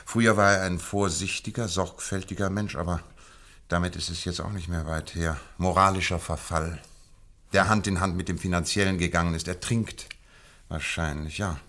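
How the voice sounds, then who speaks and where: A shrewd detective holds forth in a radio drama, teasing his friend as he lays out his reasoning. The voice sits very low, with a theatrical swell on his key words. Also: a bit bright. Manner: teasing, lecturing, theatrical emphasis. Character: shrewd lecturing male detective, middle-aged. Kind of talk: 1950s radio drama acting.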